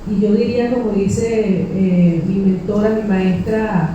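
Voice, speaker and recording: A woman hosts a live talk with a soft-edged, mellow tone. She speaks informally and haltingly, stretching and repeating words as she goes. The sound is strongly echoey and distant from the microphone, with a little noise underneath. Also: medium pitch, fairly even intonation, lo-fi sound. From Venezuela. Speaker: host and moderator of a panel discussion. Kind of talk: informal spoken introduction to a live public talk. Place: indoors in a library event space. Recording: very echoey room, distant mic, faint noise.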